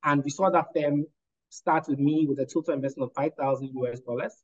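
A man's voice: on the high side, fairly even intonation, darkish tone, dull audio. A man from Ghana, a lawyer and entrepreneur, answering interview questions in an articulate, analytical way. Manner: articulate, analytical, measured with fillers.

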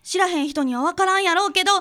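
A woman's voice: very high voice, racing, a bit bright. A woman playing a sweet, proud little schoolgirl with a childlike Kansai accent in a radio drama. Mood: proud, excited.

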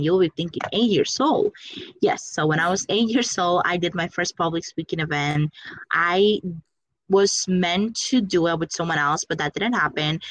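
A woman's voice: fairly low pitch, fairly bright tone, dry recording, rough recording. A young woman from the Dominican Republic, a Spanish speaker, answering interview questions about her career coaching firm with enthusiasm and many fillers.

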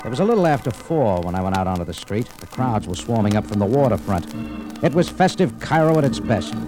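An actor playing a tough, wry American cafe owner and amateur sleuth in a 1940s radio detective drama, speaking in a clipped, hard-boiled way. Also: male voice, on the low side, sing-song, quick pace, low-quality recording. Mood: tense, narrating. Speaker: lead actor and narrator. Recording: old radio recording with music.

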